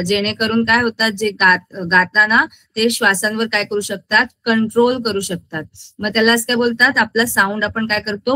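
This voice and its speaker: A woman's voice: medium pitch, sharp tone, dry recording, rough recording. A woman school science teacher with a Maharashtrian accent, lecturing interactively with English terms mixed in and often asking check questions as she explains.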